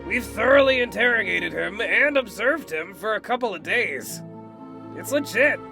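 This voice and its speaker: Guy doing a gravelly brash croc detective, cartoony, highish lively voice, slangy. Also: moderate pace, faint music behind, heavily acted. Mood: reassuring, frank. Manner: slangy, blunt. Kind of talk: comic book fan dub cartoon acting.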